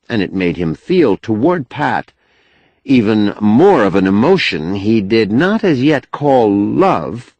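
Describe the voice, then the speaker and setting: A man narrating a novel as an audiobook in a measured, expressive storytelling manner. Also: medium pitch, no room sound. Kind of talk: scripted literary narration.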